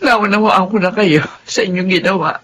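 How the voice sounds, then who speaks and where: A woman's voice: medium pitch, moderate pace, a bit bright, lightly acted. An actress playing a tender, longing elderly mother in an emotional Filipino radio drama. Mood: tender, grateful. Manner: emotional, earnest delivery. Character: elderly long-lost youngest sister, tender longing mother. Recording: dramatic music bed behind voice.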